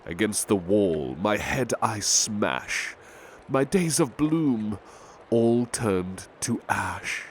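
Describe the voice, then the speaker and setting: A man playing a big-hearted butler, reading poems in a measured, gentle, formal ASMR style. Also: lowish voice, big pitch swings, exaggerated voice. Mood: measured, wistful. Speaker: ASMR roleplay performer. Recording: faint ambient background noise.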